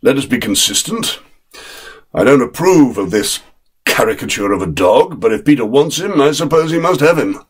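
A man's voice: medium pitch, expressive, moderate pace, slightly rough, voice pushed up. A man voicing a wealthy father who speaks in a dry, formal, sarcastic way. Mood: sardonic.

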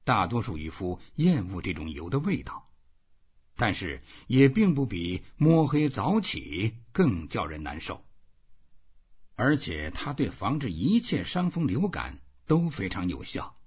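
A man narrating a literary audiobook in an expressive reading style. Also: lowish voice, measured pace, breathy edge. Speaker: audiobook reader. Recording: dull, muffled lo-fi audio.

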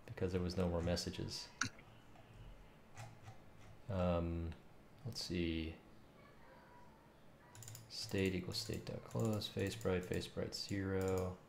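A man in his thirties with a low, very creaky voice mutters to himself in slow fragments as he works through code. The room is echoey, with keyboard clicks behind him. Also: flat delivery, darkish tone. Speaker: game developer streaming coding. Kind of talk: thinking aloud while coding. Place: small room at home. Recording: roomy, noisy, keyboard clicks. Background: keyboard typing and mouse clicks.

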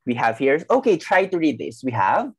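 A woman from the Philippines coaches a beginner through Japanese greetings over a video call. Her voice is slightly husky and dry, and her pitch swings lively as she patiently repeats each word and praises progress. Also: no room sound. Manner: patient, encouraging, repeats phrases slowly. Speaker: Japanese language teacher. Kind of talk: mock teaching interview over video call.

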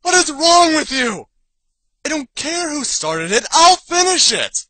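A man voicing a snarky, excitable young male adventure hero in a parody cartoon, sarcastic and ranting theatrically. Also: extremely high pitch, measured pace, dry recording, full character voice. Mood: exasperated. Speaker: amateur voice actor in a parody series. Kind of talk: comedic abridged-series cartoon dubbing.

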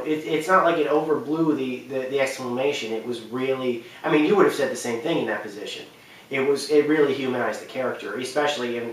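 A man's voice: medium pitch, slight background noise. A man in his twenties hosting a game countdown, speaking casually and unscripted with emphatic, rambling delivery. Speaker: video countdown host. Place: indoor wood-panelled room, echoey. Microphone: camera mic a few feet away. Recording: echoey room, distant camera mic.

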